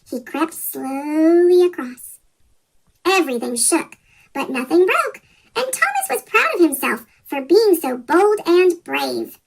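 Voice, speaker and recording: A children's story reader with a pitch-shifted chipmunk voice, reading a picture book aloud from a script. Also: gravelly, low-quality recording. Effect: sped-up chipmunk pitch shift.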